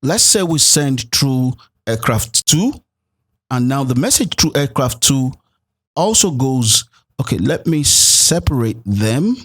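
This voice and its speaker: A man's voice: medium pitch, very animated, slow, fairly bright tone, husky. A man narrating a programming tutorial as an instructor, explaining things step by step. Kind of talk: tutorial narration with code walkthrough.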